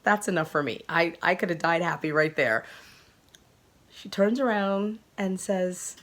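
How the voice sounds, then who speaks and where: Excitable woman with a slightly croaky voice. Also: on the low side, a little slow. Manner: gushing and excitable, laughing often. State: recovering from a sore throat. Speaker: podcast host. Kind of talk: casual storytelling to viewers.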